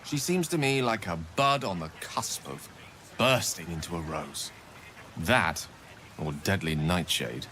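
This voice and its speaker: A man's voice: low-pitched, lively intonation, unhurried, sharp tone, slight background noise, full character voice. A man voicing a courteous, bookish wizard in a fantasy game, musing in a wry, florid way. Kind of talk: video game dramatic acting.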